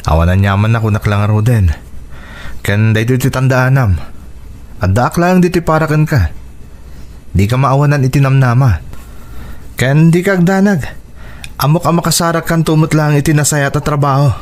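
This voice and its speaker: A man's voice: medium pitch, moderate pace, pauses often, darkish tone, close to an everyday voice. A man with a Filipino accent acting a supportive, encouraging older cousin in an Ilocano radio drama, speaking reassuringly.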